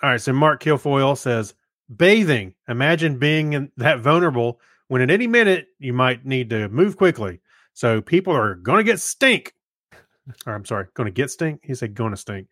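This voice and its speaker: A man's voice: medium pitch, animated, moderate pace, a bit bright, no room sound. American man, podcast co-host, mid-range voice, dry close sound, easygoing rambling chat. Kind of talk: casual chat.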